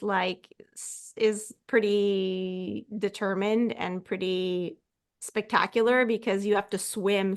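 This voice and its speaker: A woman co-hosting a podcast in casual chat, often hesitating and letting thoughts trail off. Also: medium pitch. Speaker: podcast co-host.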